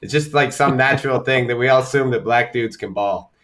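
A man's voice: mid-range voice, fairly quick, a bit bright. A middle-aged man co-hosting a podcast in casual chat, teasing and joking with his co-host and guest.

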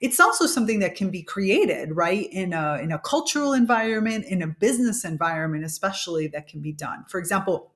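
A woman from Miami hosting a solo podcast, explaining ideas in a thoughtful, unhurried way with frequent fillers and "right?" asides. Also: lowish voice, animated. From United States (Miami). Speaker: podcast host. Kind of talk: reflective solo podcast talk.

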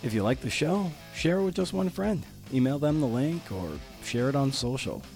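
A man's voice: mid-range voice, lively intonation, normal speed, vocal fry, a little mellow, soft background music. A man hosting a podcast in an informal, earnest, conversational way. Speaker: podcast host, interviewer.

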